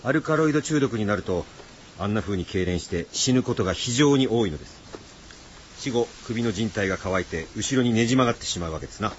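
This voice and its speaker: A man's voice: lowish voice, lively intonation, quick pace, close to an everyday voice. An actor playing a courteous, pedantic scholarly man in a radio drama, explaining things in polite, old-fashioned phrases. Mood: grave, explanatory. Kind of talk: Japanese radio drama acting. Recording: dull audio with faint background noise.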